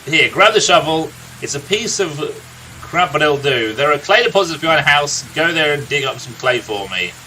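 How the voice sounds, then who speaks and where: Man acting grumpy villager spinning a tale, bright voice. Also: on the high side, big pitch swings, moderate pace, a little hiss or hum, heavily acted, poor audio. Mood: irritable, gruff. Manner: grumpy, blunt, storytelling. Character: gruff, irritable older male villager telling a tale. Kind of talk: acted reading of video game dialogue.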